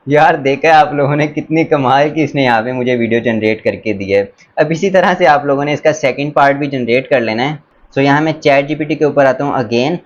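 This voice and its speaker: A man presenting a casual step-by-step screen tutorial in an instructional, enthusiastic way, mixing in English words. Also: medium pitch. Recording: dull lo-fi sound, faint background noise.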